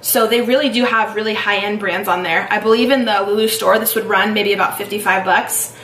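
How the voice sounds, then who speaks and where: A woman's voice: mid-range voice, steady tone, brisk, fairly bright tone, a little hiss or hum, poor audio. A young adult woman chatting casually as a lifestyle vlogger, upbeat and full of "like" and "you guys". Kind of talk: casual try-on and workout vlog narration.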